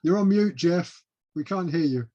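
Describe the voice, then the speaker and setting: Man, fairly high voice, encouraging informal moderator, dull video-call sound. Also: lively intonation, moderate pace, mellow. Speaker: class moderator. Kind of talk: casual online class moderation. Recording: muffled online call audio.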